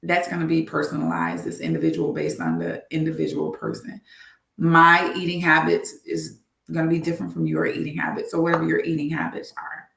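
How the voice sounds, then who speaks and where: A woman's voice: low-pitched, big pitch swings, fairly quick, gravelly, fairly bright tone, roomy sound. A woman doctor hosting a live health talk, earnest and repeating her advice for emphasis.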